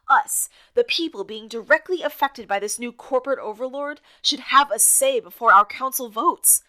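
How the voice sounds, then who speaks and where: A bright, forceful, high-pitched woman's voice, acted out by a woman as an opinionated radio co-host in an audio drama. She talks conversationally but with exasperation and sarcasm, like someone always ready to argue her case. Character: outspoken, indignant woman co-hosting a town radio show.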